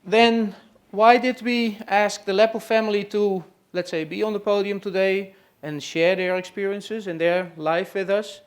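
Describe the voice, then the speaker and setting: A man's voice: sits high, fairly even intonation, measured pace, few pauses. A man from the Netherlands with a Dutch accent, introducing a family to a live audience and hesitating often with fillers. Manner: hesitant, many "uh" and "let's say" fillers. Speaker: presenter hosting a farm tour session. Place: room at a trade expo.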